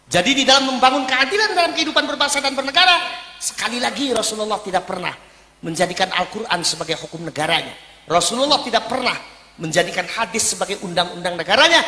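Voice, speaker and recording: A man's voice: high voice, bright, forceful tone. A man from Indonesia preaching publicly in emphatic oratory, driven by rhetorical questions. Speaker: Islamic preacher. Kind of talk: public religious sermon. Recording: echoey hall with long reverb.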